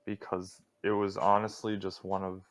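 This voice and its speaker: A young man co-hosting a casual podcast, talking in a droning, flat voice with little emotion and going off on rambling tirades. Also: sits low, moderate pace, slight background noise. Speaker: podcast co-host.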